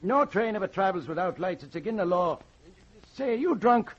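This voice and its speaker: High and raspy, a folksy American crossing watchman from a vintage radio play. He is querulous and plain-spoken, heard on a muffled old recording. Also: lively intonation, moderate pace. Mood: querulous, suspicious. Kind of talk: old-time radio drama acting.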